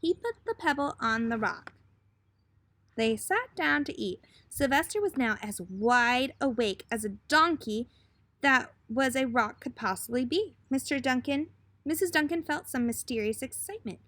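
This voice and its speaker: A woman's voice: high-pitched, no room sound. A woman reading a children's picture book aloud expressively, in the style of a teacher addressing young listeners. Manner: expressive, pausing to put questions to young listeners. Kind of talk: children's storybook read-aloud.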